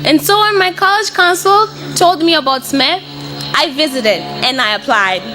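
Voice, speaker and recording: A young woman from Mozambique, a native Portuguese speaker, delivering a prepared graduation speech in an earnest, measured way. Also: high voice, background noise. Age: young adult.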